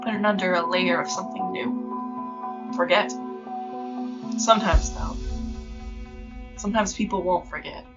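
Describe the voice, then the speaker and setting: Wary small-town female storyteller played by an actress: lower register, colloquial and brooding, muffled audio drama sound with music. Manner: colloquial, measured, ominous. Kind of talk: scripted first-person horror narration.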